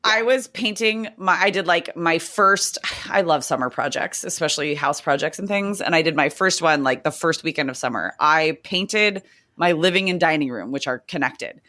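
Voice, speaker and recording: Teacher lady on a podcast, kinda hoarse, bright and low-ish, chatty. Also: moderate pace. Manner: chatty, animated, rambling with fillers. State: slightly hoarse, losing her voice. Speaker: podcast co-host. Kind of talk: casual chat.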